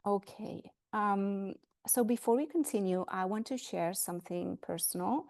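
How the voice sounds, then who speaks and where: Woman, Spanish accent, gravelly, dry close sound, webinar host. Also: medium pitch, measured pace, a little mellow, no echo. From Spain. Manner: earnest, emphatic, repeats words for stress. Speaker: fertility coach hosting a webinar. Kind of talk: webinar presentation.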